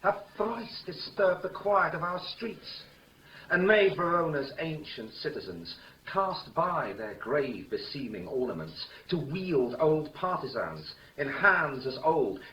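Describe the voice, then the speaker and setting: Stern, authoritative ruler, forceful declamation, echoey old radio drama sound. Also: male voice, fairly high pitch, animated, slow, heavily acted. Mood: stern rebuke. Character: stern commanding ruler rebuking feuding old lords. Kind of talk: 1950s radio Shakespeare acting. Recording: echoey, distant, noisy old radio recording.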